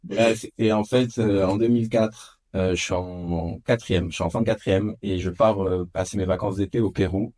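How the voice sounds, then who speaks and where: A man in his thirties from France, a podcast guest telling stories of his youth in a casual, animated way with many hesitations. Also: moderate pace. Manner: animated, lots of filler hesitations. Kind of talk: casual storytelling. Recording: dull low-fidelity podcast audio.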